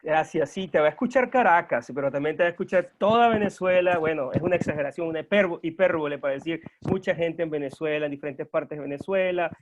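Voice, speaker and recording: Guy hosting an interview, kinda high voice, formal, lots of "ah"s, muffled lo-fi audio. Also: fast, few pauses. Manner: warm formal welcomes, hesitant "ah" fillers. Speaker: interview host. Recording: dull lo-fi sound.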